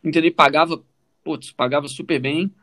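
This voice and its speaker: A man's voice: a little high, fairly even intonation, brisk, a bit bright. A man with a Brazilian Portuguese accent telling his own story in a casual, informal way as a podcast co-host, often adding little check-in questions.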